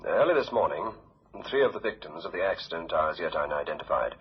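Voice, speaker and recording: Formal brit news announcer on a muffled radio set, deep voice. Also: male voice, expressive, fast talker, husky, heavily acted. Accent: British. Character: grave, formal radio newsreader. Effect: heard through a radio set. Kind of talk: scripted news reading.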